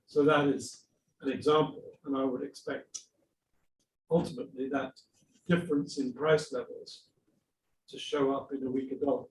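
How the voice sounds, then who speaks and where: A measured man lays out numbers step by step, his voice heard from far off in a reverberant conference hall. He speaks at a mid pitch, with a light ambient hum behind him. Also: fairly even intonation. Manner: measured, precise, explanatory. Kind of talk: explaining monetary data.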